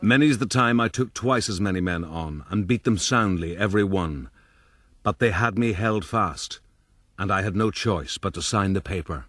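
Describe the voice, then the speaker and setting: Low-voiced rueful rogue. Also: male voice, normal speed, fairly bright tone, no echo. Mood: rueful, boastful. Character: rueful, boastful Irish soldier-rogue recounting his life. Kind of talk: first-person radio drama narration.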